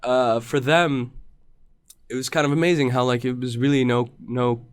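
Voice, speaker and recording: A man who grew up in the United States, a podcast guest speaking thoughtfully in casual conversation, with halting restarts. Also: medium pitch, fairly even intonation, clean tone, no room sound, studio quality.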